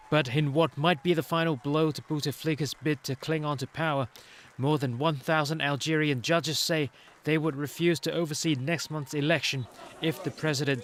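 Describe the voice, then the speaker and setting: A man's voice: on the high side, slight background noise. A man working as a TV news reporter, reading a scripted news narration.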